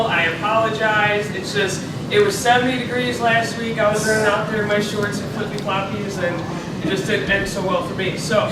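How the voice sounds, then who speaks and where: Chatty seminar presenter, woman with a cold, distant mic in a very echoey, noisy hall. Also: mid-range voice. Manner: chatty, joking, lots of fillers. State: has a cold. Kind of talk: marketing seminar talk. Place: expo seminar room.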